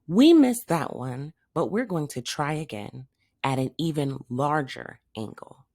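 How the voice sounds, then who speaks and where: A woman's voice: deep voice, lively intonation, measured pace, darkish tone, full character voice. A woman voicing a bold, eager small female hamster who leads her team in a children's story. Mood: confident.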